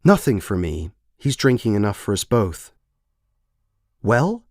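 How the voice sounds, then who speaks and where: A man voicing a cool, amused woman handler, deliberate, condescending and menacing, in an audio story. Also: fairly low pitch, big pitch swings, darkish tone, no echo, clean studio sound. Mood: mocking, amused. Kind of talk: scripted short-story character voice.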